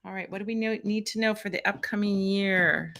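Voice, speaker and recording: Bright-voiced woman hosting a live tarot stream. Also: mid-range voice, fairly even intonation, fairly quick, creaky, slight background noise. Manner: chatty and encouraging, thinks aloud while fumbling with the stream setup.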